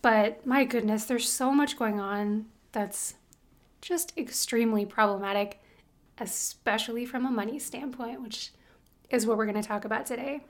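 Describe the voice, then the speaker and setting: A woman's voice: fairly high pitch, moderate pace. A woman in her thirties co-hosting a casual podcast, playful and chatty. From United States. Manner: playful, laughs, breaks into song. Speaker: podcast co-host. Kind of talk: casual chat.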